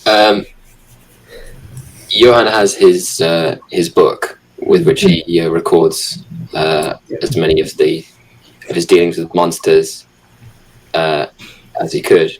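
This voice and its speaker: A British man improvising descriptions as a player in a tabletop roleplaying show, hesitant and measured. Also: sits low, level tone, poor audio. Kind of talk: improvised description of a character's belongings.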